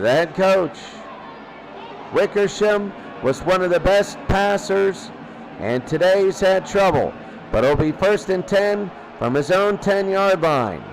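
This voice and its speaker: A man's voice: high-pitched, low-quality recording. A man doing live radio play-by-play football commentary in clipped phrases that he often repeats. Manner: repeats phrases, clipped present-tense calls.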